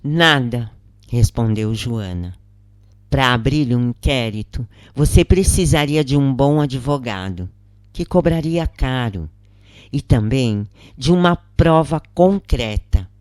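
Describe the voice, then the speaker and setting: A Brazilian narrator reads a scripted story in a close, dry recording. The delivery is slow and deliberate, with measured pauses between phrases, in the manner of an audiobook. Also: medium pitch, expressive, no room sound. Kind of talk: scripted narration, audiobook-style reading of a first-person spiritist account.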